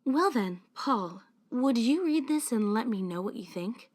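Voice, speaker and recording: A woman playing a protective high school club leader who is caring and secretly anxious, in a fan-made visual novel dub. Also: expressive, measured pace, darkish tone. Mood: cheerful, encouraging.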